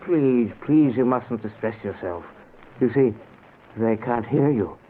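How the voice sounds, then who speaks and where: An actor playing a mild, polite dead husband, reassuring and resigned, in an old-time radio drama. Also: male voice, medium pitch, unhurried, lightly acted. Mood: apologetic. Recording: noisy, narrow-band old radio recording.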